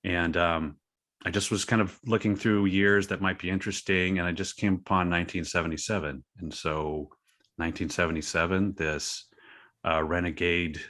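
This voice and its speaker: A man talking casually as an interview guest about his own creative work, halting and a little self-deprecating. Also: low-pitched, fairly even intonation, a bit bright. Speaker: guest, creator of a horror thriller story.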